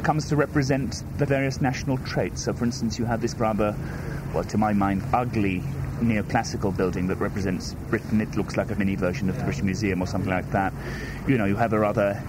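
Welsh-born guy, welsh first language, rambly hesitant artist answers, loud street noise behind. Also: on the low side, fairly even intonation, fast talker. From Wales. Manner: hesitant, circling with "in a sense" and restarts. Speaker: artist interviewee. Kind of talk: reflective interview answers.

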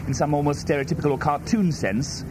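A man's voice: fairly high pitch, quick pace, noisy background. A man born in Wales whose first language is Welsh, answering interview questions as an artist in a hesitant, circling way with many restarts.

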